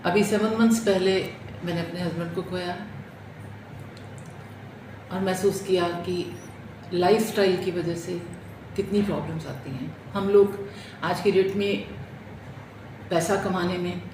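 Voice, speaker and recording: A woman's voice: fairly low pitch, fairly even intonation, measured pace, long pauses, a little mellow. A middle-aged woman giving an earnest personal talk, explaining and often repeating her phrases. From India. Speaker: music therapist and doctor. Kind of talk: personal testimonial talk in Hindi with English terms. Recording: echoey room with clear background noise.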